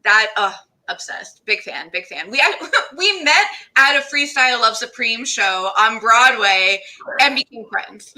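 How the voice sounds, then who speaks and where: Lady hosting a casual stream, super bright voice, kinda high, gushy and informal. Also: lively intonation, measured pace, rough recording. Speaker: interview host. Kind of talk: casual livestream interview.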